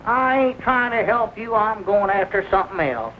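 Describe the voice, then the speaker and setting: Excitable rural Southern storekeeper, extremely high voice, stammering, muffled vintage film sound. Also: male voice, normal speed. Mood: earnest, flustered. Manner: stammering, rustic grammar. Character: excitable, folksy small-town country bumpkin shopkeeper. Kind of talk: 1940s radio-comedy acting. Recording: muffled old film soundtrack, faint hiss.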